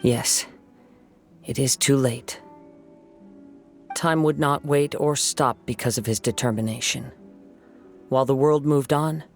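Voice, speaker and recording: A gravelly young wandering swordsman from a video game. He is calm and reflective, with solemn, poetic phrasing in a mid-pitched voice. Soft music plays behind him. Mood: gently regretful. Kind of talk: dramatic video game story dialogue.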